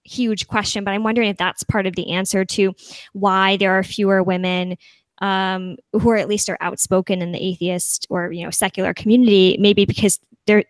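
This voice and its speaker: A young adult woman on a video call, talking things through reflectively with a high voice and plenty of fillers. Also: little pitch movement, normal speed, no echo. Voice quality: high-pitched voice. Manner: reflective, thinks aloud with many fillers. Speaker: panel guest. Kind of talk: casual panel discussion. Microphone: video call mic.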